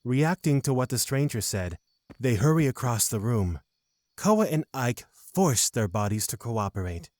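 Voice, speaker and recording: Male narrator, medium pitch, close dry sound, scripted fantasy storytelling. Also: very animated, a little slow, darkish tone, no room sound.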